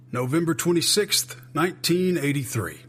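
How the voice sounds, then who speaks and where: A man's voice: fairly high pitch, slightly rough, full character voice. An actor playing a weary, skeptical male intelligence chief in an audio drama, clipped and sardonic with heavy sighs. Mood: grave. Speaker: senior agency official.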